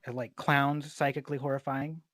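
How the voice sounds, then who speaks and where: A man's voice: medium pitch, flat delivery, brisk, fairly bright tone, no room sound, studio quality. A man interviewing a guest in an informal, meandering way, chatting and telling rambling anecdotes. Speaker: interviewer, host. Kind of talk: casual chat, rambling anecdotes.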